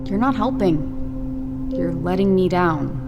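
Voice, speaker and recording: A woman's voice: fairly low pitch, slow, highs cut off, loud music behind the voice. A woman playing a confrontational, scolding abduction victim who haunts an agent in an audio drama. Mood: bitter.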